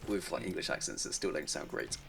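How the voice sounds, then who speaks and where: A man's voice: a bass voice, expressive, brisk, creaky, fairly bright tone. A man co-commentating a strategy game, with short replies and dry, teasing humor.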